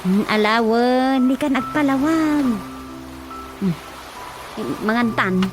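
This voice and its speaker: A woman's voice: sits high, expressive, slow. An actress playing an exasperated woman at home in a radio drama, with an Ilocano accent. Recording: music behind the voice.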